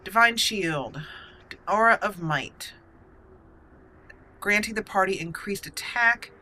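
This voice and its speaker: A woman's voice: mid-range voice, lively intonation, slow, sharp tone, low-quality recording. A woman hosting a roleplay let's play of a fantasy game, thinking aloud as she muses over her choices. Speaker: gaming channel host and player.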